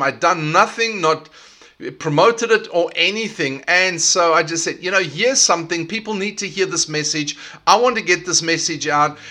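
A middle-aged man with a South African accent, hosting a solo leadership podcast in an energetic, emphatic way. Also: sits high. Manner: energetic and emphatic, with repetitive restarts. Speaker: podcast host.

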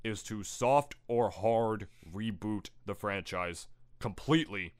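A man's voice: fairly low pitch, slow pace. A young man with a stuffy, nasal voice from a cold, chatting casually and rambling as a podcast co-host, full of fillers and swearing. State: recovering from illness. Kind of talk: casual opinionated chat.

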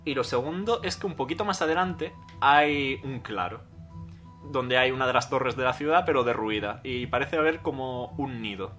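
A man narrating as game master of a tabletop game, calm and explanatory with an occasional joke. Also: mid-range voice, normal speed, soft background music.

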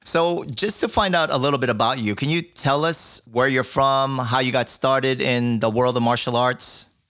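A man hosting a casual podcast interview over a call, friendly with quick asides. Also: mid-range voice, pushed and bright, clean tone. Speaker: podcast host.

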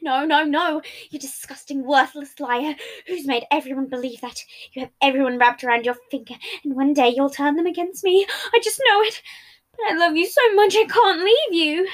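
A volatile, possessive boyfriend in an audio drama, acted by a woman. The voice is high-pitched and emotional, pressing every line as an accusation with a controlling edge. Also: moderate pace, natural voice. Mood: hurt, yelling. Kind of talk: dramatic audio story character.

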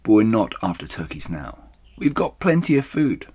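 A man voicing a fatherly fox leader in a storybook, suave and measured, savouring his words. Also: lowish voice, sing-song, darkish tone, slightly rough, pitched above the performer's own voice, exaggerated voice. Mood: knowing.

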